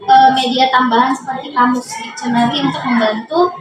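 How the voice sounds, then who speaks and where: Very high-pitched woman, echoey and distant. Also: moderate pace. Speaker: English teacher being interviewed. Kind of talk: explanatory interview answers. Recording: echoey room, distant mic, background noise.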